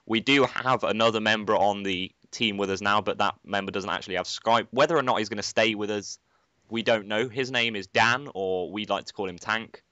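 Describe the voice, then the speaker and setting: Bright-voiced teen boy rambling. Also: fairly low pitch, fairly quick, clean tone, dry recording, highs cut off. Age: teens. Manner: chatty, rambling with filler phrases. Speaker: channel host, gamer. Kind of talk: casual gaming commentary.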